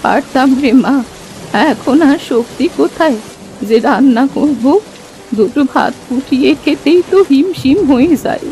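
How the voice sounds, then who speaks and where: A woman's voice: sits high, a little slow, warm tone, slight background noise, poor audio. A woman voicing a kindly, lonely old village woman, plaintive and motherly, in a cartoon folk tale. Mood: wistful, sorrowful.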